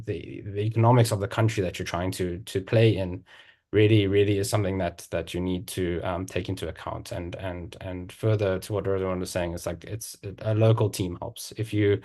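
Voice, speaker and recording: Male panelist, low-ish voice, lots of repeated words and restarts. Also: darkish tone. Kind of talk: panel discussion.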